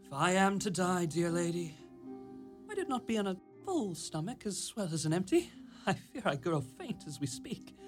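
A woman's voice: lowish voice, sing-song, moderate pace, faint music behind. An actress playing Jack, a bold, wily young boy thief in a fairy-tale radio play, with florid archaic diction. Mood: pleading. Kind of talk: theatrical radio play acting.

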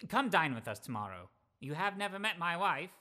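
A man playing a cheerful, warm-hearted young nephew in a radio play, speaking in a hearty, earnest, declamatory way. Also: fairly high pitch, sing-song, brisk, heavily acted. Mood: friendly, pleading. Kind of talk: theatrical radio play acting.

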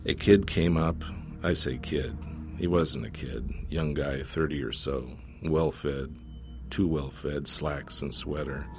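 Very deep-voiced man reading fiction, music behind. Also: fairly even intonation, slow. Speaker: author reading own short story. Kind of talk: scripted short story narration.

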